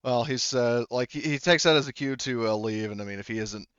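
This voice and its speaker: A man speaking as game master, improvising narration casually, haltingly, often circling back to rephrase. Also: medium pitch, a bit bright, clean tone, dry recording, highs cut off.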